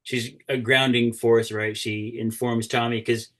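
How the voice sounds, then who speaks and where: A man's voice: medium pitch, level tone, measured pace, fairly bright tone. A man taking part in a casual group discussion, explaining his points in an assured way and checking in as he goes. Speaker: workshop participant.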